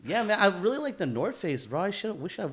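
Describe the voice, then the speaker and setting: A man's voice: sits high, big pitch swings, fast, a bit bright. A man co-hosting a podcast in casual, slangy conversation, often addressing his friend as bro, dude or man. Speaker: podcast co-host.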